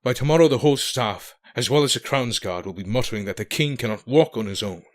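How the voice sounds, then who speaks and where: A man's voice: medium pitch, lively intonation, fast, lightly acted. An actor playing a proud, stubborn, weary aging king and loving father in an audio drama, speaking in formal, courtly phrasing. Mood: angry, frustrated.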